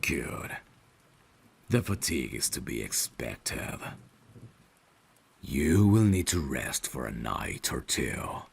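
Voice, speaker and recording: A man playing a devoted, courteous vampire butler in an ASMR audio drama, speaking formally and gently in a hushed, gravelly voice that slips toward whispers. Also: rumbling low, big pitch swings, exaggerated voice. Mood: caring, soothing. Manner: slow, formal, gentle, deferential.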